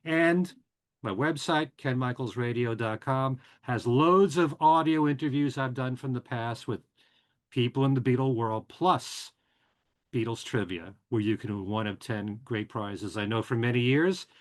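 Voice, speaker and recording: A man hosting a podcast, casually running through show news and plugs in a chatty, relaxed way with frequent filler words. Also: medium pitch, unhurried. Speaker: podcast and radio host.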